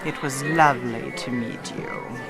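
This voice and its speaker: A woman's voice: very deep voice, unhurried, vocal fry, over loud music, full character voice. A woman acting a haughty society wife in a radio drama, coolly polite, formal and pointed. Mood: icily polite.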